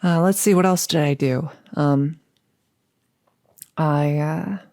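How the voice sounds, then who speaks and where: A woman's voice: sits low, slow pace, warm tone, no echo, studio quality. A woman playing a chatty, upbeat girlfriend in an intimate ASMR roleplay, rambling casually and musing with hesitations. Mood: casual, reminiscing. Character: chatty, upbeat girlfriend about to become a vampire.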